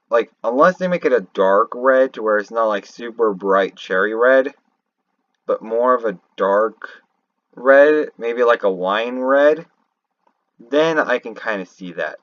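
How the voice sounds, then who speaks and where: A man giving unscripted, rambling fan commentary on a video game, thinking aloud haltingly and often correcting himself. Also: medium pitch, fairly bright tone. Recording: roomy, dull lo-fi home recording.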